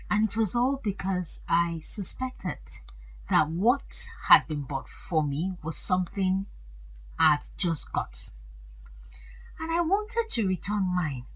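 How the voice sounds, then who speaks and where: An actress playing a wealthy, gracious older aunt in an audio drama, chatty and confiding, with a hesitant, deliberate delivery. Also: female voice, lowish voice, husky, full character voice, low-quality recording.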